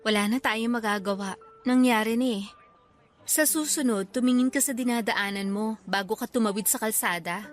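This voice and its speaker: Actress doing snappy young lady, high voice, sarcastic radio drama type, music playing behind. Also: moderate pace, natural voice. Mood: subdued, reproving. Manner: scolding, sarcastic, blunt. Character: irritable, sarcastic injured young woman, restaurant head cook. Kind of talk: Filipino radio drama acting.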